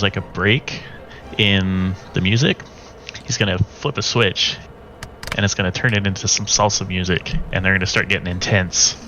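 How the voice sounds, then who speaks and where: A man's voice: deep voice, fairly bright tone, highs cut off. A man playing a tabletop game, casually narrating his character's actions. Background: music.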